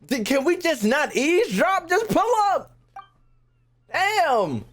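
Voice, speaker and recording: A man's voice: extremely high pitch, very animated, takes it slowly, a bit bright. A man giving casual, blunt gaming commentary as a streamer, full of slang and swearing, with game music behind. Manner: blunt, irreverent, profane.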